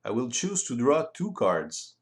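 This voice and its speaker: A man with a French accent walks through how a board game is played. His delivery is slow and a little hesitant, as he thinks aloud. His mid-pitched voice moves up and down in a very animated way. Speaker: board game rules presenter. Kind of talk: explanatory walkthrough of game play.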